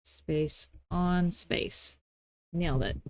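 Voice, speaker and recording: Low, mellow-voiced woman giving a casual tutorial. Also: animated, extremely slow, dry recording. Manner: playful, self-deprecating asides, folksy informal grammar. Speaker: tutorial host. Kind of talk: casual, unscripted software tutorial narration.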